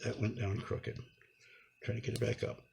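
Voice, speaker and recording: Man with a slightly husky voice, tutorial narration. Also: lowish voice, monotone, a little mellow. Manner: unhurried, halting, talking through each step while working. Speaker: instructor demonstrating a repair. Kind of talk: hands-on tutorial narration, improvised.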